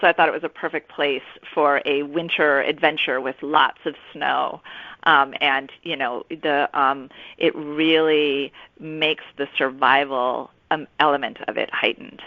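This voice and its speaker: A woman author explaining her work conversationally as an interview guest, pausing in mid-thought. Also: deep voice, steady tone, no echo. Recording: slightly dull, band-limited audio.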